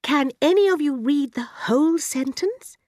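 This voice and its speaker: A woman voicing a gentle, encouraging female schoolteacher in a storybook, kindly, prim and attentive. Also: high-pitched, lively intonation, moderate pace, no room sound, studio quality, voice pushed up.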